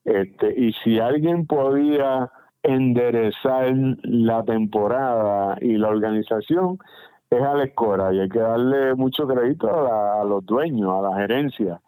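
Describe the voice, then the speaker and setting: A man's voice: mid-range voice, dark tone, no room sound, low-quality recording. A middle-aged man with a Puerto Rican accent, a baseball general manager answering radio interview questions with many restarts and fillers. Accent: Puerto Rican Spanish. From Puerto Rico.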